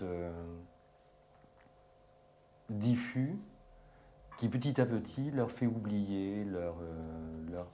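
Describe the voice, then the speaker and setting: Hesitant male interviewee, unhurried delivery, faint ambience behind. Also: on the low side, mellow, slight background noise. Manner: hesitant, many pauses and fillers. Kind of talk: reflective interview answer.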